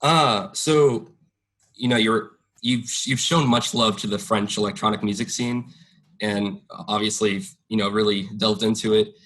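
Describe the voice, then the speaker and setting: A man's voice: fairly low pitch, steady tone, measured pace, fairly bright tone, poor audio. A young man interviewing a musician for student radio, asking questions hesitantly with frequent uhs and restarts.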